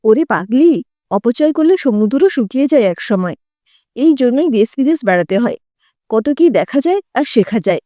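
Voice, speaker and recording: A woman's voice: on the high side, very animated, moderate pace, warm tone, dry recording, clean studio sound. A woman storyteller voicing a fussy, bossy elderly Bengali mother-in-law in a homely, grumbling way. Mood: fond chiding.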